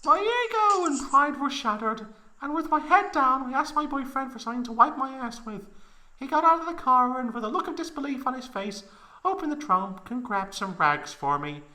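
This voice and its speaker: A woman's voice: sits high, animated, moderate pace, sharp tone, poor audio. A woman playing a proud, melodramatic young woman recounting an embarrassing tale in a comedic fan fiction reading, overwrought and close to giggling. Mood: anguished, overwrought.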